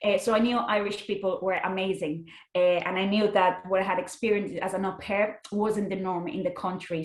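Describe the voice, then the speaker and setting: A woman's voice: mid-range voice, roomy sound, poor audio. A young adult woman from Spain with a Spanish accent, a podcast guest chatting casually with frequent restarts. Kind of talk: casual interview chat.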